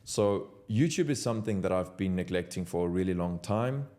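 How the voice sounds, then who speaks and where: Man, young, very deep voice, earnest motivational vlog, unscripted, talking from a home desk. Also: animated, brisk, mellow. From South Africa. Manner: earnest, motivational, direct to camera. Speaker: content creator. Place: home office at his desk.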